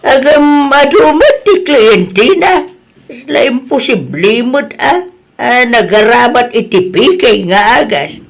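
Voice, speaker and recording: A woman with an Ilocano accent playing an exasperated, defensive village hairdresser in an audio drama, with expressive exclamations. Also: on the high side, takes it slowly, exaggerated voice. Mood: worried, chatty. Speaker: drama voice actor.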